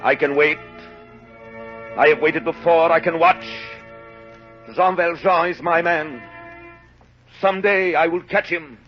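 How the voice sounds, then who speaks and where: Man acting obsessed lawman, declamatory, menacing, deliberate, old radio drama, muffled sound, music underneath. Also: on the high side, close to an everyday voice. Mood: vengeful resolve. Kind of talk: 1930s radio drama monologue. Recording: dull 1930s radio recording, music behind.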